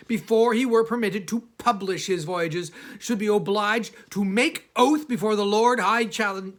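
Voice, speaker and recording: A man narrating an old first-person literary text as an audiobook reader, in a measured, formal, oratorical way with emphatic phrasing. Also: sits high, a bit bright. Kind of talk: scripted narration of an 18th-century travel satire in first person.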